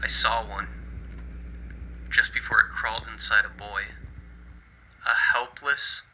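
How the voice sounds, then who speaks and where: Man acting out a hushed, whispering, haunted male witness. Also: lowish voice, fairly even intonation, a little hiss or hum, heavily acted. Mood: haunted, remorseful. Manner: slow, hushed, remorseful, whispery. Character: haunted, guilt-ridden male witness to horror, despairing. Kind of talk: horror story recitation.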